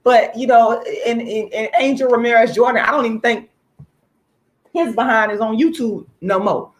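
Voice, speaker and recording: A woman hosting a casual livestream, speaking to viewers in an informal, outspoken, emphatic way with a slightly hoarse voice. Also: on the high side, normal speed. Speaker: livestream host, activist.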